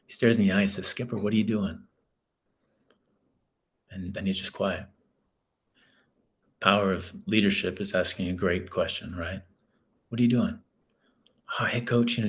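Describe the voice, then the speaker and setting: A man, a guest author telling a story in an earnest, reflective way. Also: lowish voice. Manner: earnest, reflective, many restarts. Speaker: guest, author and school leader. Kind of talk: storytelling in a podcast interview.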